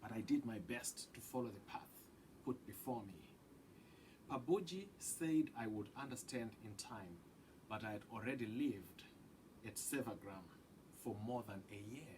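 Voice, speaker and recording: A man reading a children's picture book aloud with deliberate, dramatic phrasing that drops into hushed tones. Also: lowish voice, background noise. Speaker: read-aloud storyteller.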